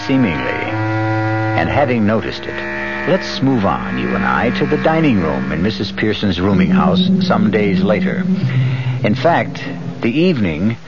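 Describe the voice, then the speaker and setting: A man narrating a 1970s radio mystery as a sly, ominous host, deliberate and confiding. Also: on the low side, big pitch swings. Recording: dull 1970s radio recording, loud music behind.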